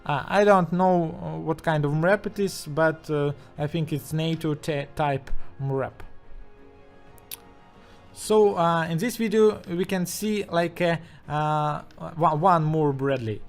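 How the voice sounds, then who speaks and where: A man speaking English with a strong Russian accent, commenting haltingly over footage with many fillers and restarts. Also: on the high side, gravelly, faint music behind. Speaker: channel host.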